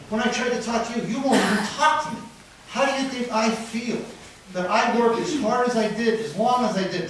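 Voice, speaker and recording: A middle-aged man giving a heated, accusatory public comment at a council meeting, heard from far back in a large room. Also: sits high. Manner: heated, accusatory, rising to near shouting. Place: large wood-paneled council chamber. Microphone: podium mic, recorded from far back in the room. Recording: distant, very echoey chamber, faint noise.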